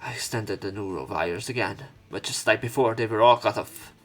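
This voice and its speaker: A man's voice: lowish voice, normal speed, a bit bright. A man hosting a let's play, reading visual novel narration aloud in a dramatic way, with commentary. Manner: acts out lines and exclamations.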